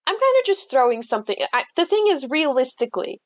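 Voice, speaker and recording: A woman's voice: high voice, sing-song, fast talker. A woman player in a tabletop roleplaying game, chatting casually in a joking, self-deprecating way.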